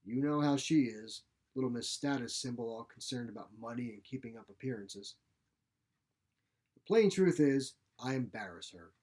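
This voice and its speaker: A feisty old woman, acted out by a male narrator, speaks in a blunt, folksy, grumbling way. She is a sharp-tongued storybook mother who minces nothing. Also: mid-range voice, lively intonation, normal speed, close to an everyday voice. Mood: frank, scornful. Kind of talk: short story character reading.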